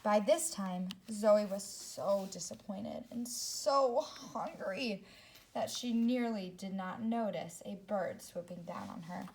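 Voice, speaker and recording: A woman teacher reading a story aloud to young children, speaking expressively and deliberately, with asides to her second-grade listeners. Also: mid-range voice, a little mellow, slight background noise, rough recording.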